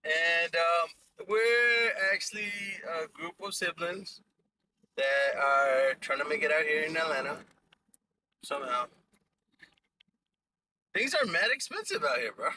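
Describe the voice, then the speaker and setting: Bright, joking young man in a car. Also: fairly high pitch, very animated, a little slow, long pauses, a little hiss or hum, poor audio. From Ecuador. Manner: joking, mixes English and Spanish. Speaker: podcast host. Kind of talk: casual podcast banter.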